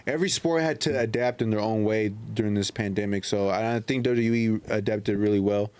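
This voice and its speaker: A man's voice: lowish voice, brisk, a little mellow, clear, resonant tone, dry recording. A man hosting a casual podcast chat, informal and slangy, often repeating himself. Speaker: podcast host.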